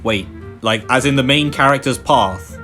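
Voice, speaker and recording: Young man, bright voice, chatty let's-play commentary, rambling, faint music behind. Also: medium pitch, normal speed. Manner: chatty, rambling, many fillers. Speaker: gaming video host.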